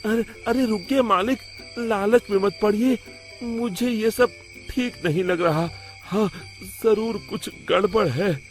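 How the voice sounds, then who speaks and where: Man voicing a high-pitched meek, honest servant. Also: measured pace, faint music behind. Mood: worried pleading. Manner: pleading, deferential, high-voiced warnings. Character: naive, honest, cautious young male servant.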